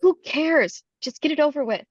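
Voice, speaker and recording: An anxious young beauty queen acted by a woman with a fairly high voice, reluctant and protesting in a table read. The sound is dry and a little muffled. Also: lively intonation, normal speed, no room sound. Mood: alarmed, exasperated. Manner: protesting, distressed.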